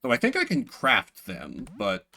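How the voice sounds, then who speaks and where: A male streamer with a bright, lively voice that moves around a lot in pitch. He gives casual, slangy game commentary and reads on-screen text aloud expressively. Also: measured pace, creaky.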